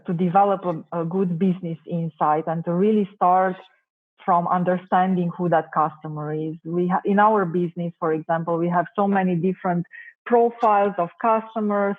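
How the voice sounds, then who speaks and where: A woman from Romania, a native Romanian speaker, answering interview questions thoughtfully with many hesitations. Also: fairly low pitch. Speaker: marketing director, guest. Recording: tinny phone-like call audio.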